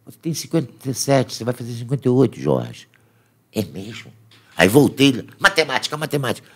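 A man in his fifties from Brazil, a podcast guest telling stories in slangy casual talk, laughing often, at a studio boom mic. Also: sing-song, fairly quick, fairly bright tone, breathy edge. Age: 50s. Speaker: podcast guest, jiu-jitsu fighter. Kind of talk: casual chat. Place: small podcast studio with foam panels. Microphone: studio mic on boom arm, headphones.

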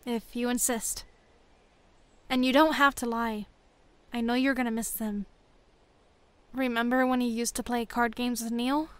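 A worried, soft-hearted rebel played by a woman with a high voice. She speaks earnestly, in the manner of a sci-fi audio drama. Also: little pitch movement, measured pace, long pauses, clear, resonant tone, no echo, close to an everyday voice. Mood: sorrowful, tender. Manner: earnest, concerned. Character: caring, worried female rebel returning from a mission.

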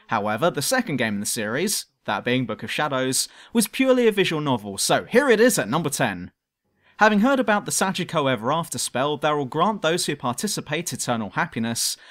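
A man's voice: a little high, a bit bright. A man reading a scripted countdown review as host, with a cheeky, irreverent manner. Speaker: host and reviewer of a top ten list show.

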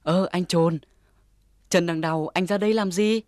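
Touchy, earnest hedgehog for a kids' cartoon actor voicing: mid-pitched, sincere, dry recording. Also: fairly even intonation, a little slow, a little mellow, no echo, natural voice. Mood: earnest, modest. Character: hardworking, earnest, easily offended young hedgehog. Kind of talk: Vietnamese children's cartoon acting.

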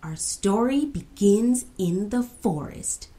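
A woman's voice: medium pitch, lively intonation, slow pace, mellow. A woman voicing an eager young kid who offers to tell a spooky story in a storybook podcast. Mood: playful.

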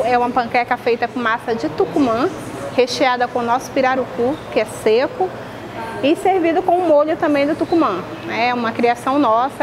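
A woman's voice: high voice, poor audio. A middle-aged woman chef, explaining regional dishes in an interview in a restaurant dining room. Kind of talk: on-camera interview.

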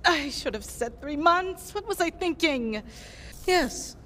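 An idealistic young female scientist in a video game. She is earnest and excitable, rambling and second-guessing herself with a very animated melody. There is faint noise behind her. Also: sits high, takes it slowly, exaggerated voice. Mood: earnest, hopeful. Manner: rambling, self-questioning.

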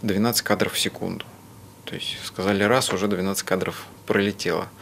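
A man in his thirties explains things in an interview with a very low, gravelly voice. He speaks haltingly, like a teacher working through an explanation. There is faint noise in the room. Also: fairly even intonation, a bit bright. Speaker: animation teacher. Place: indoor room, on-camera interview.

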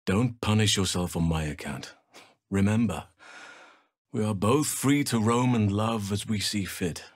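An actor playing a big, earnest druid healer in a video game, solemn and gentle, with measured, formal, old-fashioned phrasing. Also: male voice, on the low side, no echo, studio quality. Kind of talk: video game character voice acting.

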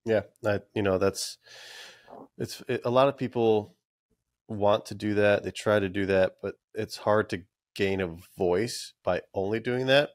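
A man's voice: fairly low pitch, measured pace, no room sound, studio quality. A man in his thirties hosting a casual podcast interview, talking with many fillers and restarts. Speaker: podcast host. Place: small room with collectible shelves. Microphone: studio mic with headphones.

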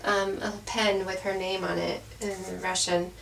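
A woman's voice: mid-range voice, little pitch movement, measured pace, vocal fry, fairly bright tone. A woman in her thirties speaking reflectively in a documentary interview at home. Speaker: adoptive parent, interviewee. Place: room at home, indoors. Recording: echoey room, distant mic, faint noise.

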